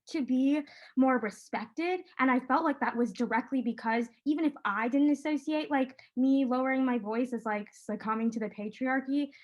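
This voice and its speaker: A teenage girl with a high-pitched voice who moderates a casual panel discussion and talks in a chatty way with many fillers. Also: dull audio. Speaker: student moderator.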